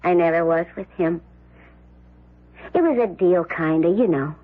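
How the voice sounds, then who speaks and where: A woman's voice: lowish voice, a little slow. A woman acting a jaded, blunt, defiant character in an old radio drama. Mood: sly, cool. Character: jaded, defiant, frank woman with tough edge. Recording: muffled, narrow-band old radio broadcast.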